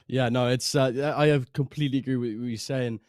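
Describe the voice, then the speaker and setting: A man from South Africa with a South African accent, co-casting an esports broadcast in a relaxed, somewhat hesitant way. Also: mid-range voice, a little fast, clear, resonant tone, no room sound, studio quality. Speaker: esports co-caster.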